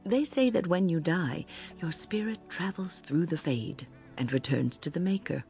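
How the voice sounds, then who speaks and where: An actress playing a serene elderly healer in a video game, speaking in a measured, philosophical and gently wry way. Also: female voice, on the low side, animated, gravelly. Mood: serene, reflective. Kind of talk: video game character dialogue. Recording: game audio with music behind.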